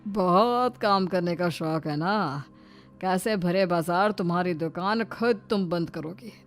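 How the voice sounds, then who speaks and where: A woman's voice: fairly low pitch, unhurried, close to an everyday voice. A woman voicing a spiteful, domineering father-in-law in a story, taunting and scolding. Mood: sneering scheming. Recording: faint background music.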